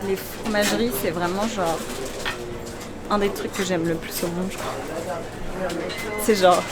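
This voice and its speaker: A woman's voice: on the low side, fairly even intonation, measured pace. A woman from Poland who grew up in Italy, hosting a casual travel vlog in an informal, slangy, exclamatory way. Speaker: vlog host. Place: outdoors in busy city streets and markets. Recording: loud outdoor market crowd and noise.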